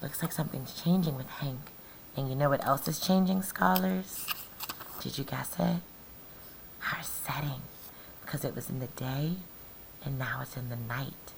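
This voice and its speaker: A woman teacher doing an interactive storybook read-aloud, deliberately questioning and explaining things to young children. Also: very deep voice, gravelly, background noise.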